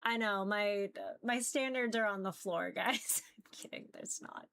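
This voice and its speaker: A woman's voice: medium pitch, measured pace, vocal fry, a bit bright, clear, resonant tone. A woman chatting casually to viewers as a livestreamer, informal and rambling, with a giggly manner. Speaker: visual novel streamer.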